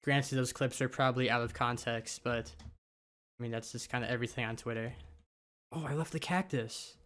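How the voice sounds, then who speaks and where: A man's voice: mid-range voice, normal speed, fairly bright tone. A young man who is a little sick, giving rambling, casual commentary over his gameplay. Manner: rambling, offhand, with many fillers. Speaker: gaming YouTuber.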